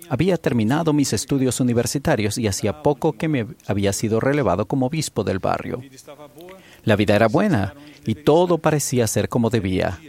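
Low-voiced man giving a formal sermon. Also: vocal fry, darkish tone. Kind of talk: interpreted sermon.

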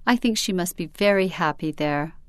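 A woman reading a storybook, voicing a kind mother who speaks gently and soothingly. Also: fairly low pitch, moderate pace, no echo, studio quality, lightly acted. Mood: tender. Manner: warm and soothing.

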